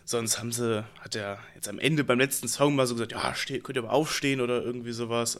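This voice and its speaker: A young man with a stuffy nose from a cold, telling a relaxed, rambling story in slangy casual chat as a podcast host. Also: medium pitch, gravelly.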